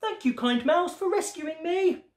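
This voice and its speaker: A little storybook frog, acted out by a woman, pitched very high and plaintive. It exclaims its words with swooping melody, in a roomy, echoing space. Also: lively intonation, a little slow. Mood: grateful, puzzled. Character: stuck sad frog, panicky then grateful, storybook animal.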